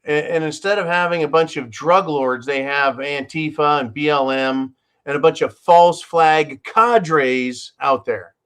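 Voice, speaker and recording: A man's voice: on the high side, a little slow, fairly bright tone, smooth. A man hosting his own channel, giving opinionated news commentary in a sarcastic, profane way and often addressing his listeners as folks. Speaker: channel host.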